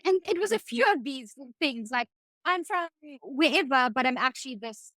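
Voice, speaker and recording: A young woman from South Africa co-hosting a podcast, chatting in an animated, curious way and asking questions. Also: high-pitched, moderate pace, no room sound. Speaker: podcast co-host. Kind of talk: casual chat.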